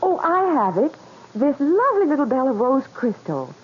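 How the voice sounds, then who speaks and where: An actress playing an eager, sentimental middle-aged wife in a 1940s radio drama. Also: female voice, high-pitched, normal speed. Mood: eager, playful. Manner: eager, playful, expressive. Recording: dull, slightly noisy 1940s radio recording.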